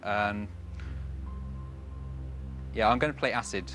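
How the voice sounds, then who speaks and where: A twenty-year-old man, a contestant on a quiz show, hesitantly reasoning his way through a question out loud. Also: on the low side, slow, faint music behind. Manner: hesitant, reasoning aloud with fillers. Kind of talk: thinking aloud on a quiz show.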